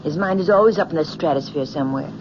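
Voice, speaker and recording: An actress playing a loving, placating young fiancée and professor's daughter in an old-time radio drama. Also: female voice, low-pitched, fast talker, lightly acted. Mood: teasing. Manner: soothing, affectionate. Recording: muffled, noisy old radio recording.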